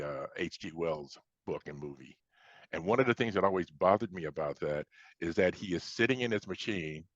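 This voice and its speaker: An American man from Chicago speaking as an interviewed author, explaining things conversationally in a halting way with repeated starts. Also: low voice. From Chicago, United States. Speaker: science fiction author being interviewed. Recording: dull, roomy lo-fi sound.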